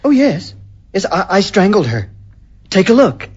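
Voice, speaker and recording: An actor playing a mild, hesitant husband turned unhinged strangler in a radio drama, with a husky, stammering voice. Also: male voice, sits high, very animated, a little slow, exaggerated voice. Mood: dreamy, unhinged. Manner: hesitant, stammering, repeating words. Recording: dull lo-fi radio recording with music.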